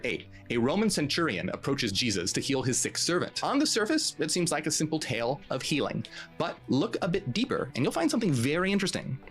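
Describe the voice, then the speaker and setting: A man's voice: on the high side, sing-song, brisk. A man presenting scripted explanatory narration, posing emphatic rhetorical questions. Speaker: video presenter. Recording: music bed under the voice. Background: background music.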